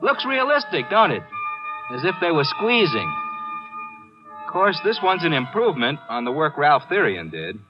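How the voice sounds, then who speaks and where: A casual, wry storyteller from a vintage radio thriller, with a slightly high voice and very lively intonation. He is offhand about grim things, on a dull old recording with clear music underneath. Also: moderate pace. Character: laid-back, wry male storyteller, quietly macabre. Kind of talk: 1950s radio drama acting.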